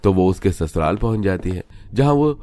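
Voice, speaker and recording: A man's voice: low voice, animated, brisk, mellow, a little hiss or hum. A man narrating a scripted cartoon story.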